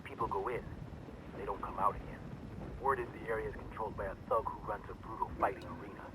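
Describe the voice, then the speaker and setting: An actor playing a special forces soldier who briefs the team in a steady, matter-of-fact way. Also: male voice, fairly low pitch, heavy background noise, lightly acted.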